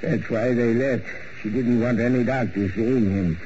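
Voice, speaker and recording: Man acting a shaken, halting theatre assistant. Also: mid-range voice, steady tone, lightly acted. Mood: dazed, hurting. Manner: halting, weak. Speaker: actor in a mystery radio drama. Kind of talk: 1940s radio acting. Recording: muffled old radio recording, faint noise.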